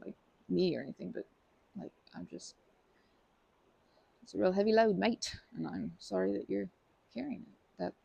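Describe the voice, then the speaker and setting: A woman playing a timid, kind young woman in a comforting ASMR roleplay, with a creaky voice and a hesitant, stammering, apologetic way of talking. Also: lowish voice, darkish tone, slight background noise, exaggerated voice. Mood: sympathetic, hesitant.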